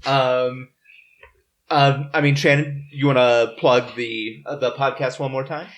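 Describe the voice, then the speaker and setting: A man's voice: medium pitch, steady tone, measured pace, fairly bright tone, poor audio. A tipsy man hosting a casual comedic podcast in a rambling, self-deprecating way. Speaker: podcast host. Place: in-person table recording.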